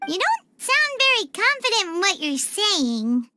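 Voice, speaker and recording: A woman voicing a tiny, cheerful, childlike fairy companion in a video game, with a squeaky voice and a perky, blunt way of talking. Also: sing-song, unhurried, heavy background noise, exaggerated voice. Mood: matter-of-fact. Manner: exclaiming, refers to self in third person. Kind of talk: animated video game acting.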